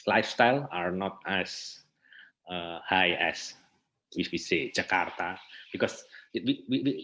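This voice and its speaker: Male interview guest, Indonesian accent, very low but bright voice, feeling his way through halting sentences. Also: highs cut off. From Indonesia, north coast of Java. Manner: hesitant, halting phrasing with repeated words. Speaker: interview guest, theatre artist and festival director. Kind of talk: informal interview answers.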